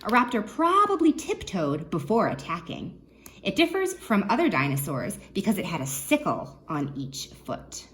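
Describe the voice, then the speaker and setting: A woman children's librarian reading a nonfiction book aloud, expressively, pausing to put questions to young listeners. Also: medium pitch, wildly swooping pitch, fairly bright tone, a little hiss or hum.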